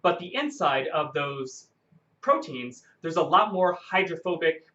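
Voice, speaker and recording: A man giving an unscripted, explanatory science lecture, halting and often correcting himself as he goes. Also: a little high, very animated, bright, forceful tone, lo-fi sound.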